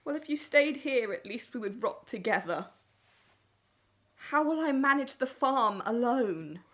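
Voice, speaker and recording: A woman's voice: a little high, lively intonation, unhurried, fairly bright tone. A woman playing a bitter, strict farm wife and mother in a wartime audio play. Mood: worried, resentful.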